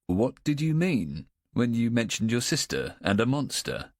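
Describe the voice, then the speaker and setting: A man voicing a calm adult policeman in an audiobook, questioning gently and steadily. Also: mid-range voice, unhurried, no room sound, studio quality, pitched above the performer's own voice. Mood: gentle coaxing.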